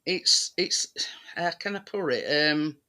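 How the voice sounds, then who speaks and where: Bright, forceful man, higher-pitched. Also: clear, resonant tone. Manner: rambling, halting, searching for words. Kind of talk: casual chat.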